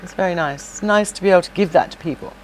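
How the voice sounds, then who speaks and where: A woman's voice: lowish voice, racing, slight background noise, rough recording. A middle-aged woman, a former singer, chatting casually in an interview, with many fillers and restarts. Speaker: podcast guest, shop owner and former singer.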